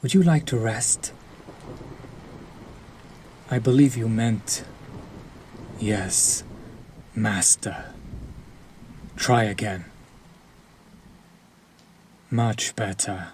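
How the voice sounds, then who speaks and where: A man voicing a sadistic, possessive vampire lord in an intimate audio roleplay, silkily commanding and deliberate, often dropping into a breathy whisper. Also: fairly low pitch, darkish tone. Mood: soothing, condescending, then stern. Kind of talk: intimate scripted audio roleplay addressed to the listener. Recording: clear background ambience noise.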